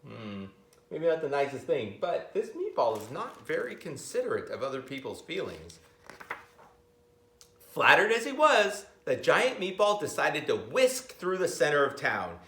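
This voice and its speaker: A middle-aged man reading a picture book aloud expressively, pausing to comment and ask his listeners questions, recorded in a school library. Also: on the high side, wildly swooping pitch, pushed and bright. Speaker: school reader of picture books to children. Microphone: camera a few metres away. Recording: roomy library, camera at a distance.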